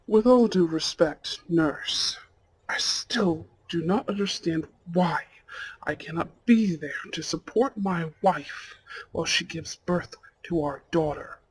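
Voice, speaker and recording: Devoted mafia heir played by a man, romantic ASMR roleplay: slow, intimate, breathy, raspy. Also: on the high side, low-quality recording. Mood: agitated, impatient. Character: devoted, tender mafia heir, newlywed husband and anxious father-to-be.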